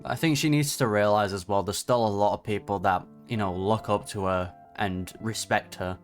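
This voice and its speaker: A man's voice: fairly low pitch, moderate pace, soft background music. A man giving casual reaction commentary on a video game, conversational and sympathetic in manner. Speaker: gaming reaction streamer.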